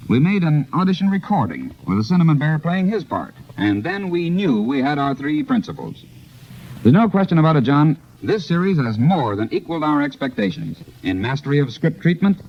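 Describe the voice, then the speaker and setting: Animated man on dull 1930s radio. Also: a little high. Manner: formal, salesman-like, elaborate phrasing. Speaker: radio producer promoting a show. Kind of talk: scripted 1930s radio promotional interview.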